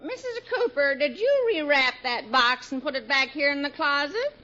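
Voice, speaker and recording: An actress playing a practical, sympathetic housemaid, deferential yet gently skeptical, in a 1940s radio sitcom. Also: female voice, high-pitched, animated, normal speed, a little hiss or hum. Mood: concerned, skeptical. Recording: muffled 1940s radio recording.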